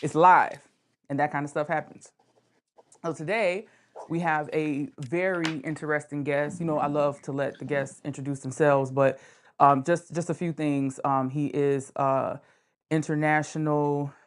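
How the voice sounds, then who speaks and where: A woman with a low-pitched voice speaks as a podcast host. Her delivery is informal and conversational, halting at times, with words repeated for emphasis. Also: steady tone, slight background noise.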